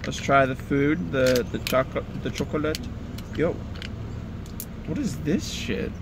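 A man's voice: a little high, unhurried. A young man casually vlogging from inside a parked car, rambling and swearing with a laid-back manner, recorded on a dashboard phone. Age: young adult. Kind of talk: casual vlog chat. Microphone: phone camera on the dashboard. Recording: car air conditioning noise behind. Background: air conditioning blowing.

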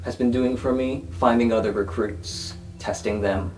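A man voicing a calm, megalomaniacal villain headmaster in a tabletop game, smooth-talking and condescending. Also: medium pitch, moderate pace, faint music behind, natural voice, low-quality recording. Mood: grandiose. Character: calm, megalomaniacal male supervillain academy headmaster.

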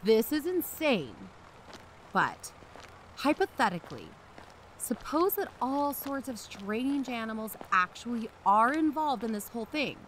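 A woman playing an excitable amateur investigator who hosts a podcast, in a scripted audio drama. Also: a little high, unhurried, a little hiss or hum. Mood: incredulous. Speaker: podcast host.

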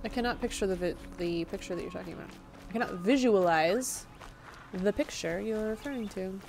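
A young woman streaming a game and chatting with her viewers, with a soft, slightly raspy voice. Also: medium pitch, brisk, a little hiss or hum. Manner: chatty, answers chat questions aloud. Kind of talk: casual live-stream chat while gaming. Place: indoor room. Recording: game music underneath.

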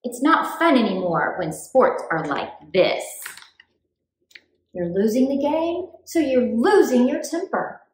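A woman reading a children's book aloud in an expressive, deliberate storytime style for young children. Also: fairly high pitch, roomy sound, slight background noise, low-quality recording. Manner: clear, deliberate, animated delivery for young children.

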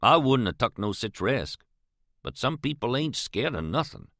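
A man's voice: mid-range voice, very animated, moderate pace, vocal fry, fairly bright tone, no room sound, studio quality. A man voicing an earnest, pious older male riverman with a drawl, pleading and questioning. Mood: bewildered.

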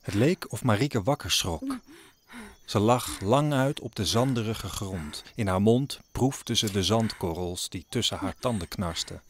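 A man's voice: on the low side, a little hiss or hum. A man narrating an audio drama as a scripted, dramatic story. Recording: music under the narration.

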